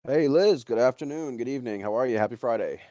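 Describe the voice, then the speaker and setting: Fast-talking man hosting a casual stream. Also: medium pitch, mellow, no room sound. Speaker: host interviewing a guest. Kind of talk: casual livestream interview.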